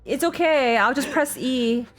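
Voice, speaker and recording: A woman's voice: sits high, unhurried. A woman streamer reacting casually to a game, exclaiming emotively and adding chatty asides. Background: game music and dialogue.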